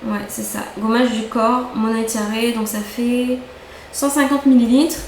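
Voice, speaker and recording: A woman's voice: on the high side, fairly even intonation, unhurried, slight background noise. A young woman chatting casually and enthusiastically about her beauty haul, often repeating words for emphasis. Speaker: beauty vlogger. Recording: echoey room, faint ambience.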